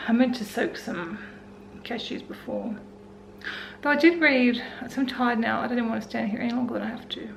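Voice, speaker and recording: An Australian woman in her forties with a croaky voice, talking casually and wearily through her day at home in a vlog. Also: a little high, moderate pace, a little hiss or hum, rough recording. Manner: tired, rambling, self-talking. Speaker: vlogger. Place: indoors at home.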